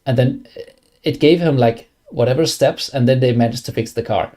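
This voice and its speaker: A man's voice: medium pitch, normal speed, dark tone. A young man from Denmark with a Danish accent, chatting casually on a game live stream and rambling with many fillers and restarts. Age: young adult.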